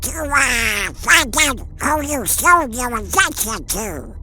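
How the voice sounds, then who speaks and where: A woman's voice: a little high, sing-song, takes it slowly, slight background noise, exaggerated voice. A woman voicing a male duck superhero for a comic dub, irritable and boastful, grumbling as he goes. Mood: defiant. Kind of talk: comic dub character acting. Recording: voice under loud music.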